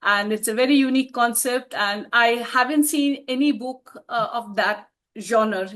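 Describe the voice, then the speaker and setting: A woman's voice: a little high, normal speed, fairly bright tone. A woman, an author answering interview questions in a reflective way and laughing often. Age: 50s.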